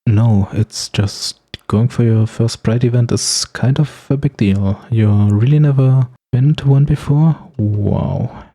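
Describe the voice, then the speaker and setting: A man's voice: mid-range voice, slow pace, dark tone. A man playing a teasing, snarky supervillain with firm standards in an ASMR roleplay, speaking straight to the listener with sarcastic, playful banter. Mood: warm, curious.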